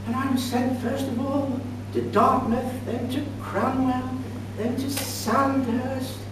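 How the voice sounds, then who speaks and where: An older man in his sixties delivers a humorous tall tale as a speech. He takes his time, with dramatic pauses and a mock-grand, deadpan air. The sound is a distant recording in a very reverberant room, with heavy noise and hum. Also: extremely high pitch. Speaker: speakers club contestant telling a tall tale. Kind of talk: theatrical comic storytelling speech to an audience. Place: indoor function room at a club meeting. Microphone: distant camera microphone.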